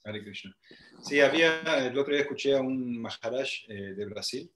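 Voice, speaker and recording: A man's voice: mid-range voice, expressive, a little fast, a bit bright, a little hiss or hum. A man in his forties teaching a spiritual class on a video call, reading and explaining scripture to a group and asking them questions. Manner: explains with hand gestures, asks the group questions. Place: small room with plain wall, video call. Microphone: laptop webcam mic on a video call.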